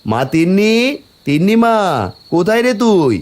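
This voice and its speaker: Poor cartoon village dad, doting n plaintive, highish voice, swingy pitch. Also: male voice, normal speed. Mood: eager, affectionate. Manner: plaintive, expressive. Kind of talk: cartoon voice acting.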